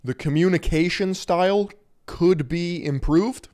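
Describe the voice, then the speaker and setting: A man's voice: sits high, unhurried, darkish tone. A man streaming live and reacting to a video with crude, wry commentary and frequent laughter.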